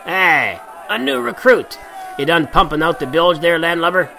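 Rowdy pirate crewmen who jeer and mock in shrill, raised voices, as a male narrator puts them on. A storybook reading with rough, teasing energy. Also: sing-song, normal speed, a crowd in the background, noisy background. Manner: jeering, high and loud.

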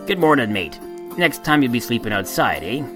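A man voicing a kindly, rough-spoken pirate with a folksy pirate dialect. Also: mid-range voice, very animated, normal speed, close to an everyday voice. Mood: gruffly kind. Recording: narration with music underneath.

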